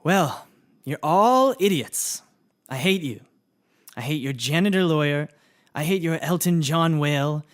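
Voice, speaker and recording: Peevish, contemptuous comedy sourpuss, male actor, higher-than-average voice, emphatic and disgusted with everyone around him. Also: expressive, unhurried. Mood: bitter, scornful. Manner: scornful, emphatic. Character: peevish, contemptuous man fed up with idiots. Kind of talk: scripted audio comedy acting.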